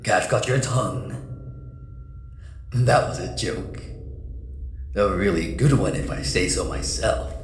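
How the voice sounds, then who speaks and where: A man's voice: deep voice, slow, long pauses, roomy sound, big-room sound, slight background noise, pitched below the performer's own voice. A man voicing a theatrical male game villain who taunts with mocking, self-satisfied courtesy. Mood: smugly teasing. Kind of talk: dramatic reading of a game character.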